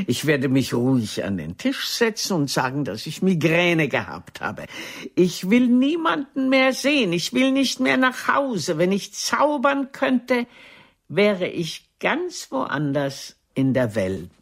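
An actress in a radio play playing a vain, imperious ageing stage star, husky, grand and cutting in manner. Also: female voice, mid-range voice, sing-song, takes it slowly, exaggerated voice. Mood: dramatic recitation. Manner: grand, theatrical, cutting, self-important.

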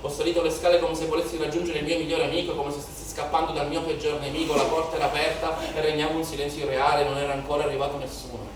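A man's voice: on the high side, flat delivery, racing, few pauses, lightly acted. A male stage actor playing an impassioned, haunted young protester in a declamatory theatrical monologue, with incantatory repetition. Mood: brooding, reflective. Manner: declamatory, incantatory repetition, swelling from hushed to shouted. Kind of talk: theatrical dramatic monologue in Italian political theatre. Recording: very echoey theatre, distant mic, noisy.

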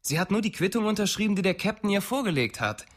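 An actor playing an eager, nervous young rookie detective in a radio drama, asking quick questions. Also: male voice, high voice, very animated.

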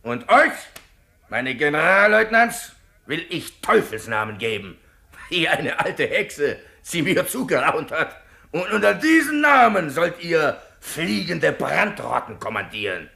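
An actor playing a cruel, bombastic rebel captain in a theatrical radio play, with a bellowing, pompous, menacing delivery. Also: male voice, fairly high pitch, big pitch swings, measured pace, vocal fry, pushed and bright, exaggerated voice. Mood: bombastic, gloating.

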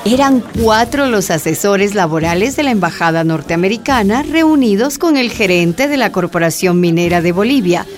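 A woman narrating a scripted radio drama in a measured storytelling manner. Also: mid-range voice, animated.

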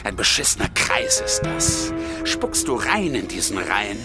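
An actor playing a world-weary, needling police detective in a radio drama, grumbling and muttering. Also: male voice, medium pitch, wildly swooping pitch, measured pace, far from the mic, loud music behind the voice. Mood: sardonic, grumbling. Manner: teasing, grumbling, swings from exclamation to muttering. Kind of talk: naturalistic German radio drama acting.

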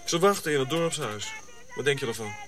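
A man playing a cynical, gruff colonial officer in a radio drama, blunt and sardonic. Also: mid-range voice, lively intonation, a little fast, loud music behind the voice, close to an everyday voice. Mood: sardonic, irritated.

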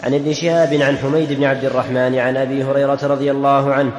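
Man, monotone recitation, echoey hall, reverb tail. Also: mid-range voice, very fast. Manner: level recitation. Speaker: student reading hadith text aloud. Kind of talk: reading hadith with chains of narrators. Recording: echoey hall, faint noise.

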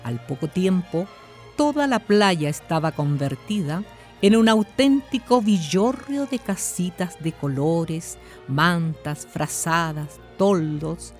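Male narrator, higher-pitched, unhurried, animated, scripted radio drama, faint music behind.

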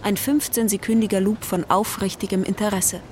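A woman's voice: medium pitch, flat delivery, brisk, a little mellow, close to an everyday voice. A woman narrating a radio drama in a scripted, literary style. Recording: clear urban outdoor ambience noise behind.

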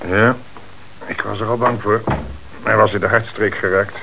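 An old radio drama with faint hiss. a police commissioner with a lowish voice. He questions people in a methodical, measured, probing way. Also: fairly even intonation, creaky. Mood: matter-of-fact. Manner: inquisitive, measured. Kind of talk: radio play acting. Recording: hissy old radio play recording.

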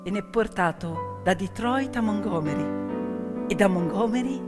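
A woman performing dramatic theatrical storytelling in an emphatic, declamatory manner, with deliberate pauses and repetitions. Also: medium pitch, animated. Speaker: stage storyteller. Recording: piano music behind the voice. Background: piano accompaniment.

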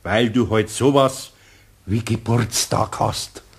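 An actor playing a kindly, patient older craftsman with a Bavarian accent and a fatherly manner in a children's radio play, his voice husky. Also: lowish voice, full character voice. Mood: gentle, playful. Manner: fatherly, unhurried, chuckling.

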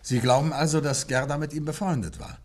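An actor playing an urbane, genial and shrewd amateur sleuth in a 1950s German radio play, casual and probing, with thoughtful little interjections. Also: male voice, a little high, fairly even intonation, a little fast, a little mellow, close to an everyday voice.

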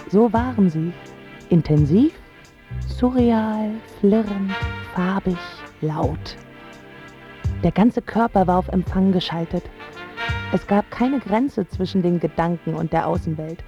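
A woman's voice: on the low side, slow pace. An actress playing a smitten young woman from a pampered home, narrating intimately and lyrically in a radio drama. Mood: dreamy, smitten. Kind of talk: scripted first-person narration in a German radio drama. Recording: slightly dull sound with music underneath. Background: prominent music.

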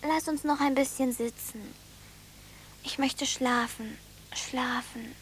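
A woman's voice: high-pitched, fairly even intonation, slow pace, husky, exaggerated voice. A woman acting a stubborn, dreamy little village girl, worn out and earnest, in a radio drama. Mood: exhausted, dreamy. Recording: studio radio drama with music.

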